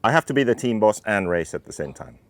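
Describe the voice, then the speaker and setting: Deep-voiced Finnish man in his thirties. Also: big pitch swings. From Finland. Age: 30s. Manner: measured, technical explaining. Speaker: RC racing team owner and driver. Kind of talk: interview talk about his car.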